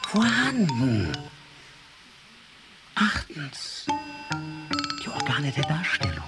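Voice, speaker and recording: Slow, pedantic scholar, swooping pitch. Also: male voice, wildly swooping pitch, loud music behind the voice, full character voice. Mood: lecturing. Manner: precise, enumerating, instructive. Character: pedantic scholar examining a skull by touch. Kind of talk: radio drama, lecturing dictation.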